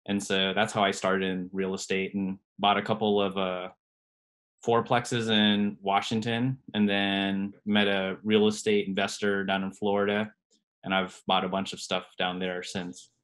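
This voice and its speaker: A man guest on a podcast, a radiologist, telling his story in a relaxed way, hedging often. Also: lowish voice. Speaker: guest, radiologist and private lender. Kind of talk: casual podcast interview.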